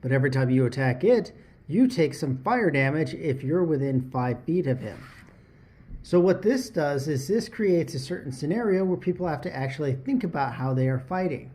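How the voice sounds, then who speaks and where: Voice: mid-range voice, expressive, moderate pace, dark tone. A presenter giving an instructional explainer, talking directly to the listener and posing rhetorical questions. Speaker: tabletop RPG lesson host.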